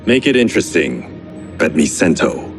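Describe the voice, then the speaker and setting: A man's voice: low-pitched, big pitch swings, moderate pace. An actor playing a stern, sardonic, battle-hardened swordsman in a video game, dry and terse. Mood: dry challenge. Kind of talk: video game cutscene acting. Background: loud music.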